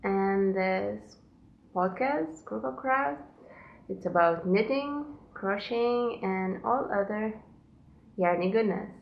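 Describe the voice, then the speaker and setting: Slow-talking woman with a Lithuanian accent. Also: mid-range voice, animated, creaky, reverberant, highs cut off. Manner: unhurried, careful non-native English with pauses. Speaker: knitting podcast host. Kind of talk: casual solo podcast chat. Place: her home, indoors.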